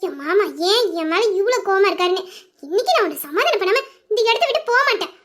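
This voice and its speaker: A woman's voice: extremely high pitch, lively intonation, fast, a bit bright. A woman playing a young woman who coaxes and pleads with her lover in an audio drama. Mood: coaxing. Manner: pleading, coaxing, breaking into tears. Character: young woman, coaxing and tearful sweetheart.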